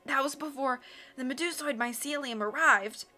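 Narrator playing poisoned island woman, storybook, hoarse, accusing, raised bright voice, faint music. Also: high-pitched, normal speed, voice pushed up.

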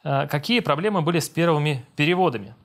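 A young man, a voice-over dubber, casually reading out viewer comments and answering them at his desk at home, relaxed and self-deprecating. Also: fairly high pitch, quick pace. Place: home room with monitors, indoors.

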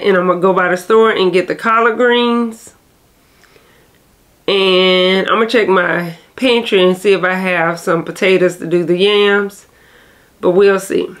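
Nasal woman vlogger, casual and rambling, mid-pitched. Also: fairly bright tone. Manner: rambling, chatty, self-correcting. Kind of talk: casual vlog chat to camera.

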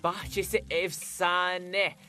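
A man voicing an upbeat, wry young hero in an animated cartoon dub. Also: high-pitched, drawn-out words, soft background music, heavily acted.

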